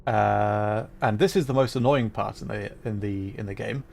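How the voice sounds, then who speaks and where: A man's voice: on the low side, moderate pace, vocal fry, darkish tone. A man livestreaming a video game, chatting casually to viewers and rambling as he thinks aloud while playing.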